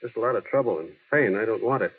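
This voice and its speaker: An actor playing an earnest, shy, plain-spoken small-town man, halting and sincere, in a vintage radio drama. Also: on the low side, slightly rough. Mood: hurt, bitter. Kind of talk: 1930s-40s radio drama acting. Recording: muffled, lo-fi old radio recording.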